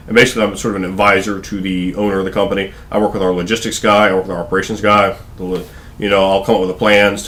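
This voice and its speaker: A man's voice: sits low, fairly bright tone, slight background noise. A man in his thirties from Virginia with a Southern accent, rambling casually to camera in his living room, full of fillers and restarts. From Virginia, USA. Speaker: vlogger. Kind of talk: casual talking-head vlog.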